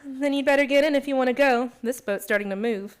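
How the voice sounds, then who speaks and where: Actress as young woman, fairly high pitch, bold, curious, arch, brisk, self-possessed, radio drama. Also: close to an everyday voice. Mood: arch, teasing. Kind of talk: radio play acting.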